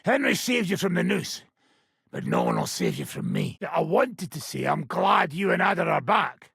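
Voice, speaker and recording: Aging bandit war-band leader for a game: very husky, heavy rasp, blunt, sardonic, swinging widely in pitch. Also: male voice, huge pitch swings, moderate pace, fairly bright tone, no room sound, studio quality, full character voice. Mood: angry, threatening. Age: older. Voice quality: rough, raspy, gravelly. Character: foul-mouthed old wanted robber baron, gruff war-band leader. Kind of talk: video game character dialogue.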